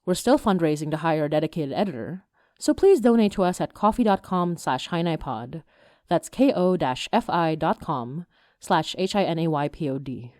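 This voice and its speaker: A woman reads scripted podcast credits as an announcer. Her voice sits low for a woman and moves with plenty of melody, in a very dry recording. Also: lively intonation, moderate pace, darkish tone, dry recording. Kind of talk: scripted end credits and listener appeals.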